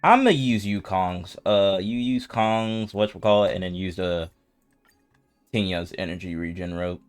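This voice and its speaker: A man's voice: low voice, normal speed, faint music behind. A man doing casual gameplay commentary as a streamer, informal and slangy, thinking aloud as he plays. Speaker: video game streamer.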